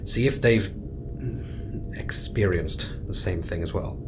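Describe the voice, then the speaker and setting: Male actor as astronaut commander: deep, measured, strained, steadying a crew. Sci-fi audio drama, noisy rumbling background. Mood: firm. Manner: measured, hesitant, reassuring with clipped orders. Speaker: mission commander giving orders.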